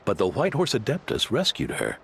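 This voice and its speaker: A man's voice: fairly low pitch, wildly swooping pitch, brisk, vocal fry, a little hiss or hum, full character voice. An actor playing a proud older male guest house owner in a video game, speaking in a theatrical, emphatic storytelling manner. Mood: earnest storytelling. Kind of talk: animated game dialogue, storytelling. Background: music.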